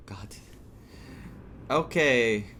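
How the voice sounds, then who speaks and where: Casual, musing male gamer with mid-pitched voice. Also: expressive, drawn-out words, pushed and bright, a little hiss or hum. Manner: informal, musing aloud. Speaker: gaming YouTuber playing through a story quest. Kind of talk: casual playthrough commentary, reacting and reading choices aloud.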